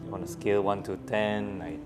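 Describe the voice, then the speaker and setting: A man in his late twenties with a Malaysian accent, speaking calmly as a quiz contestant. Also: lowish voice, normal speed. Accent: Malaysian English. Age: late 20s. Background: background music.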